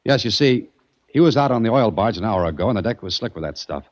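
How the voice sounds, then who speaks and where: A man's voice: on the low side, expressive, quick pace, lightly acted. An actor playing a determined male detective who narrates his own case, in 1940s radio acting. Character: hard-boiled male detective, dry and determined. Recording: dull old radio recording.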